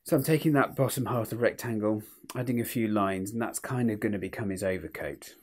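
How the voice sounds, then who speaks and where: Low-voiced, sniffly man teaching drawing. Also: darkish tone. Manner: informal, unhurried, talks through each step. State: sniffly with a cold. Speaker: illustrator hosting drawing tutorials. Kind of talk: casual drawing tutorial, chatting to viewers.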